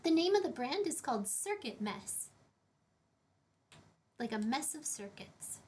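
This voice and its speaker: Chatty high-voiced woman streamer. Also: big pitch swings, measured pace, a little mellow. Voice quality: higher-pitched voice. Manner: chatty, rambling, many fillers and restarts. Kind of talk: casual live-stream chat, reading chat questions. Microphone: shotgun condenser mic about five feet away.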